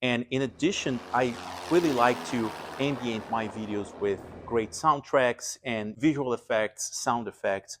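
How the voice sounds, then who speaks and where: A man's voice: medium pitch, a little slow, few pauses, noisy background. A man hosting an aviation vlog, explaining his equipment in a casual, conversational way. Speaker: aviation vlog host and pilot. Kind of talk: casual explanatory gear walkthrough to camera.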